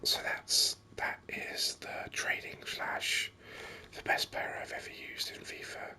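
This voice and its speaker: A gaming video host speaking in a breathy whisper, giving unhurried, rambling ASMR commentary with enthusiasm. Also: pushed and bright.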